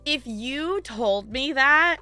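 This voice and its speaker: Female game streamer, lively and swooping delivery, slightly high voice, casual and playful chat with viewers. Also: slow pace, clean tone, dry recording, faint music behind. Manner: playful, giggly, animated. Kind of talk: casual livestream chat, rambling.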